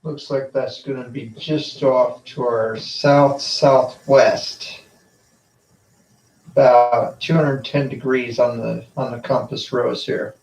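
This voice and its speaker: An American man giving a casual live weather update as a storm spotter, talking in a halting, unhurried way. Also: mid-range voice, steady tone, a little mellow. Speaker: storm spotter, channel host. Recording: roomy, distant, narrow-band livestream sound.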